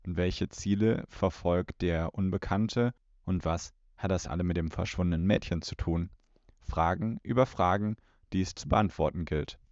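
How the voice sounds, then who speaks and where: A man's voice: low-pitched, normal speed, darkish tone, dry recording. A man in his thirties co-hosting a podcast, talking and reading aloud in a home setting. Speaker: podcast host. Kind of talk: podcast talk, partly reading a plot blurb. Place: room in a family home. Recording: dull home recording.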